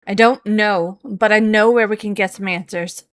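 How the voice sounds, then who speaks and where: A woman's voice: medium pitch, fairly even intonation, moderate pace, clean tone, dry recording, clean studio sound, natural voice. Woman as a determined, hot-tempered young adventurer. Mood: frustrated. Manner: insistent, exasperated.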